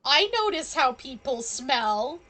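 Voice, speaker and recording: A woman's voice: high-pitched, expressive, takes it slowly, bright, forceful tone. A woman live streaming a game, chatting casually and playfully with viewers. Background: game music.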